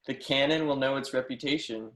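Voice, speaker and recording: A man's voice: medium pitch, a little fast, a bit bright, lightly acted. Man playing an eager young scholar, echoey room. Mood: eager, earnest. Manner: earnest, effusive, apologetic, formally polite. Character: eager, deferential young German mathematics professor, flustered admirer. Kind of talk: readers theatre performance of a history play.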